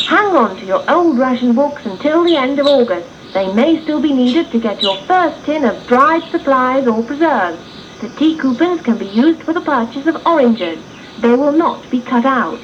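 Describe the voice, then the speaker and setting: A woman playing a brisk, patriotic 1940s Ministry broadcaster giving formal, instructive wartime advice in a public information style. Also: high voice. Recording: dull lo-fi 1980s radio tape, faint noise.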